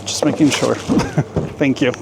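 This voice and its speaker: A man's voice: mid-range voice, steady tone, slow, vocal fry. A man chatting casually as a travel vlogger in a joking, playful way, filming outdoors and in shops on a handheld camera. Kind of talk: casual vlog narration. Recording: outdoor street noise.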